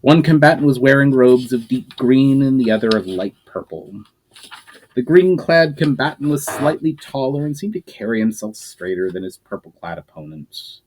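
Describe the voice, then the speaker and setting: A man reading his own fantasy novel aloud as narrator, his pitch rising and falling through each sentence, with small slips and restarts. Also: lively intonation, normal speed, darkish tone, rough recording.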